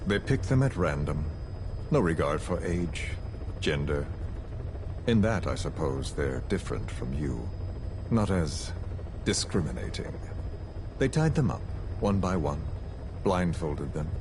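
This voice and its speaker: Real low, cold game villain, slow and menacing, noisy audio. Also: male voice, big pitch swings, heavily acted. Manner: slow, measured, quietly threatening. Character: cold, menacing male villain, calm and scheming. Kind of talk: game cutscene dialogue.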